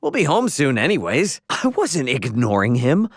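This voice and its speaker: A man's voice: mid-range voice, huge pitch swings, moderate pace, dry recording. A young male starship pilot for a video game, easygoing and a little cocky. His mid-range voice moves around with lots of expression, and he talks in loose, slangy phrases. The sound is dry and close. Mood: defensive, annoyed. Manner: breezy, slangy, informal delivery.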